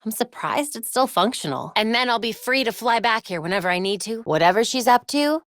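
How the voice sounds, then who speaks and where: A woman's voice: a little high, expressive, a bit bright, no echo, clean studio sound. A woman playing a brainy, determined young vigilante heroine in a video game, wry, earnest and conversational. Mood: focused. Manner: conversational, quick-witted, earnest, talking to herself and teammates.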